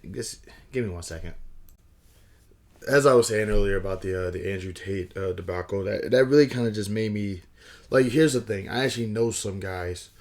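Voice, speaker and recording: A hoarse man vlogging casually, rambling with frequent restarts and a wry, conversational manner. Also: on the low side, darkish tone. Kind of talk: casual talking-head vlog.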